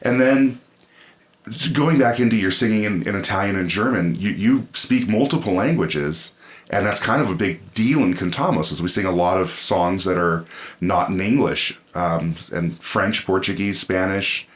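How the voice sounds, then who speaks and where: Very deep-voiced male podcast host. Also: normal speed. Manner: friendly, affirming, talks in long summarizing turns. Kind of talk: casual interview chat.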